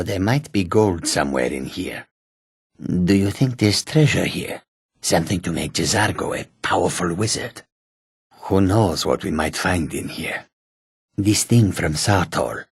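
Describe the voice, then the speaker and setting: A proud, sly feline mage from a fantasy video game. The voice is deep and very raspy. The phrasing is formal and measured, and the recording is dry and close. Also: male voice, no room sound, exaggerated voice. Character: proud, sly male cat-person mage, speaks in third person. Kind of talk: video game character dialogue.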